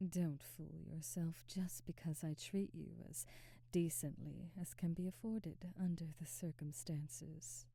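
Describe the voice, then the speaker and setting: A woman playing an elegant, domineering vampire noblewoman in an intimate ASMR roleplay, speaking softly and breathily in formal, measured phrases. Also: low voice, no room sound. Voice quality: soft, breathy, whispery. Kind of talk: intimate ASMR roleplay, slow whispery delivery.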